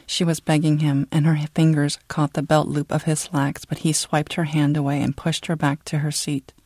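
An audiobook narrator reads a scripted literary story. The delivery is measured and dramatic, at a medium pitch. The recording is close and dry. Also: little pitch movement, a little mellow, no echo.

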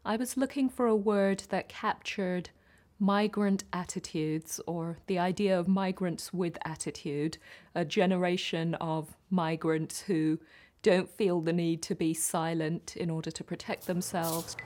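A woman poet from Kenya speaking earnestly and deliberately about her work in an interview. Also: lowish voice, steady tone, a little mellow. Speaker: poet and performer. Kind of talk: reflective interview talk.

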